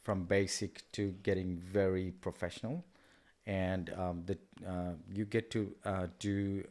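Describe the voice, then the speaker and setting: Guy in his 30s, casual interview guest, lots of ums and pauses. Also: low voice, flat delivery, darkish tone. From Nepal. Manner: hesitant, halting, many pauses and fillers. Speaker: guest, artist and kids entertainer.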